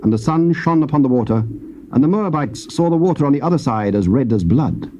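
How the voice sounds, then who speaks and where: A man narrating scripture in a measured, solemn reading style. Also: mid-range voice, lively intonation, warm tone, slight background noise. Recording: muffled old cassette tape.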